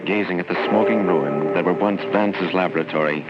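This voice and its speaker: Actor as a deep, solemn narrator. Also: male voice, brisk, lightly acted. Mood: somber. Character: somber male narrator recalling horror. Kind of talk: old-time radio scripted narration. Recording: muffled old radio, loud music.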